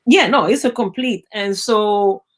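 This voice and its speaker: A middle-aged woman from Venezuela with a Venezuelan Spanish accent, a native Spanish speaker and biologist, telling stories in a lively interview, acting out quoted voices and often restarting her phrases. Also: medium pitch, measured pace. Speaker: guest, biologist. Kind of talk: conversational storytelling interview.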